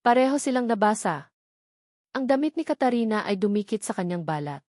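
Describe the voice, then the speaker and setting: Woman, dramatic story narrator, emotive, middle pitch, dry close sound. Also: expressive, brisk, a little mellow, no echo.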